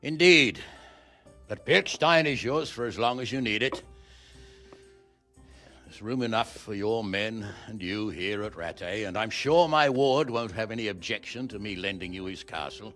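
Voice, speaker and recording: Brief: actor as a crude, outspoken lord in a game, low voice with a sharp, bright edge. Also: male voice, normal speed, faint music behind, natural voice. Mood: blunt. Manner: blunt, coarse, wry. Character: blunt, crude middle-aged male lord. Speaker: lord of a castle. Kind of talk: video game cutscene acting.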